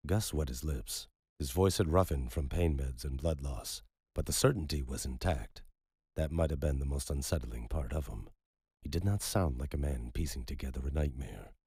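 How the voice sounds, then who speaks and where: A man reading scripted dramatic fiction as an audiobook narrator, in a husky voice. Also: rumbling low, normal speed, warm tone, no room sound, studio quality.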